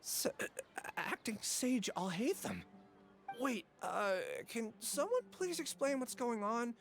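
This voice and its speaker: A voice actor playing a nervous, earnest young witness in a game, with a hesitant, stammering delivery. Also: female voice, fairly high pitch, big pitch swings, takes it slowly, faint music behind, heavily acted. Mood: flustered.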